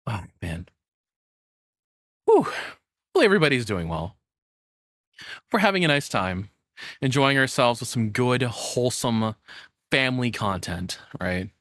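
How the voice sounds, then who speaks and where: A man's voice: medium pitch, very animated, measured pace, pauses often, fairly bright tone, dry recording, clean studio sound. A man giving casual, playful, tongue-in-cheek live commentary as a streamer reacting to a video.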